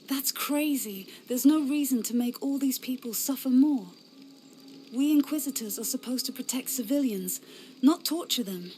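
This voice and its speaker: A woman's voice: high-pitched, normal speed, warm tone, slight background noise, close to an everyday voice. A woman as an earnest, defiant young knight. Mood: protesting. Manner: impassioned, defiant. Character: earnest, idealistic young female inquisitor knight. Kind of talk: fantasy game cutscene acting.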